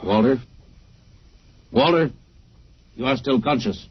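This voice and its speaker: A man's voice: medium pitch, lively intonation, slow pace, slightly rough. An actor playing a coldly logical male alien captor, speaking in formal, emotionless, stilted phrases in a 1950s radio drama style. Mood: clinical menace. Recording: muffled, echoey old-time radio recording.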